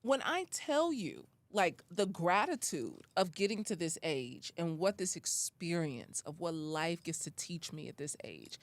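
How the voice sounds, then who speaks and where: A woman's voice: fairly low pitch, animated, measured pace, few pauses, no room sound. A woman in her forties hosting a podcast in a studio, chatting casually with playful, laughing banter and slangy asides. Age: 40s. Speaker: podcast host. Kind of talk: casual chat.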